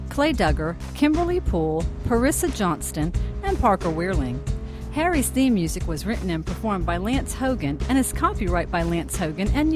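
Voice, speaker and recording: A woman announcer reading scripted closing credits for an audio drama. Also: mid-range voice.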